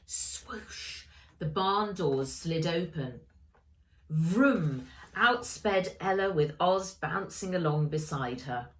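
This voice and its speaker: Slow, expressive woman reading a storybook. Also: on the low side. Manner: expressive, addressing young listeners. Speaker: author reading own story. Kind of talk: children's storybook narration.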